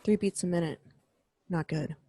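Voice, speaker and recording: A woman's voice: on the low side, normal speed, mellow, breathy edge, no echo, clean studio sound. A woman voicing Batgirl, a prim, rule-abiding young crime-fighter, in an amateur radio play.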